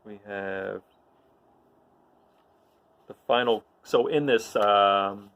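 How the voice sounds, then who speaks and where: A man hosting a read-aloud, talking casually and haltingly between readings in a self-deprecating way. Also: on the low side, fairly bright tone. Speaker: host reading aloud to viewers. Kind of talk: casual introductions to dramatic readings.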